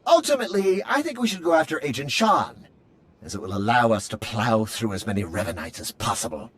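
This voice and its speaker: An actor playing a fussy, polite droid with a taste for violence in a video game, speaking in a prim, formal, theatrical way. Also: male voice, medium pitch, expressive, fairly quick, crowd noise, natural voice. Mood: prim, eager.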